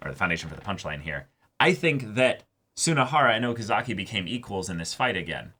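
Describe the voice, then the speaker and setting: Bright, low-pitched man discussing casually. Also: very animated, fast. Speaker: co-host of an anime reaction show.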